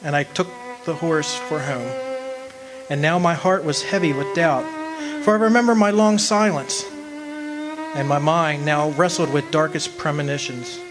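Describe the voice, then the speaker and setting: A man's voice: fairly high pitch, moderate pace, music in the background, lightly acted. A man acting in a radio drama as an earnest, homesick country man, with a wistful, folksy way of talking. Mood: wistful, solemn. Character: homesick young man of twenty-five, lovesick, earnest, remembering. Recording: noisy live amateur stage recording.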